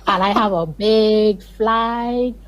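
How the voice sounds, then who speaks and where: A woman's voice: mid-range voice, fairly even intonation, slow pace. A Barbadian woman, a guest on a morning show, speaking earnestly and with emphasis. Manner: earnest, emphatic, pleading in places. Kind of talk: video call interview.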